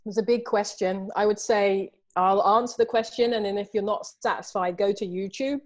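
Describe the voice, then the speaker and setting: A woman's voice: mid-range voice, normal speed. A woman, talking animatedly as an artist guest over a video call from home, with plenty of "you know"s. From Southeast London, England. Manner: animated, gestures a lot, many fillers like "you know". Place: home room with books and artwork behind. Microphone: video call, earphones.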